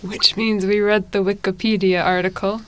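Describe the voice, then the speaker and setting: A young woman co-hosting a casual podcast, playful and quick to laugh. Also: mid-range voice, flat delivery, fairly bright tone, clean tone, no room sound. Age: young adult. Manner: playful, giggly. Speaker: podcast co-host.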